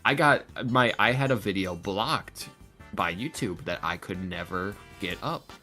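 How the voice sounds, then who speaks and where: A man who makes roleplay videos, talking casually as a podcast guest and acting out quotes as he tells stories in an animated way. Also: on the low side, unhurried, faint music behind. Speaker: interview guest, ASMR roleplay video creator.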